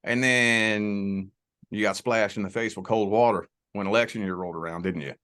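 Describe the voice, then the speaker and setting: A man's voice: fairly low pitch, steady tone, a bit bright, clear, resonant tone. Folksy man with a Southern drawl. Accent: Southern US (Kentucky border). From Southern Indiana near Louisville, Kentucky. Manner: folksy, reassuring, drawn-out emphasis. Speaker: guest, tarot reader. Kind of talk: spiritual conversation and tarot reading.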